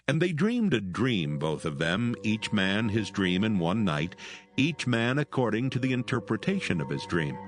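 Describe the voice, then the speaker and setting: Expressive low-voiced male scripture narrator. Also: moderate pace, over music.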